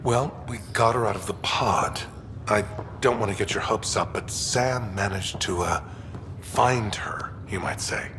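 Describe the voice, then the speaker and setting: Lowish voiced caring space doctor, hesitant, casual, echoey. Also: male voice, measured pace, a bit bright. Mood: cautious. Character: easygoing, caring male ship's doctor. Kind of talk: video game voice acting. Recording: reverberant, faint rumbling noise.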